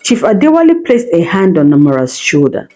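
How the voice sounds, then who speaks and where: A woman's voice: mid-range voice, huge pitch swings, brisk, mellow, muffled recording. A woman narrating a scripted folktale as a storyteller, with music playing behind her. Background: background music.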